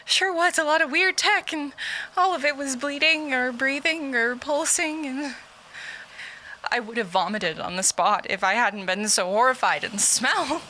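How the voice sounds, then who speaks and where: High, frightened stranded woman. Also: sharp tone, slight background noise, exaggerated voice. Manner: shaken, halting, breathy outbursts and whispers. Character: frightened, stranded woman explorer recording a desperate log. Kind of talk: audio drama monologue.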